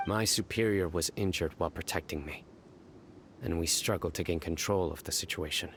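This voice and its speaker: A grim, deep-voiced enforcer. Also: male voice, a little hiss or hum. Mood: stern. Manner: terse, deadpan, measured. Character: grim young male desert investigator, stoic and dutiful. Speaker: stern law enforcer.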